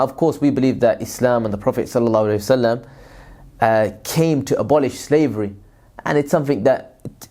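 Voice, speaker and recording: A man's voice: mid-range voice, dark tone. A man who works in aid, answering viewers' questions in a casual vlog, with a loose, rambling delivery full of fillers and religious phrases. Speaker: aid worker and vlogger.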